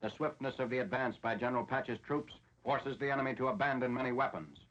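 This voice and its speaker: A man's voice: medium pitch, fast, fairly bright tone. An American man narrating a 1940s military newsreel in a formal, matter-of-fact way. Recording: dull, lo-fi 1940s film soundtrack.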